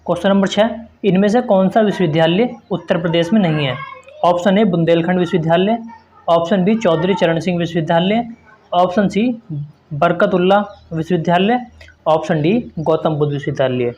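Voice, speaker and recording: A man with a notably high-pitched voice teaches an exam-prep lesson. He reads out quiz questions and answers, then explains them in an instructive manner, repeating points for emphasis. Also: fairly quick, a little mellow, lo-fi sound, a little hiss or hum.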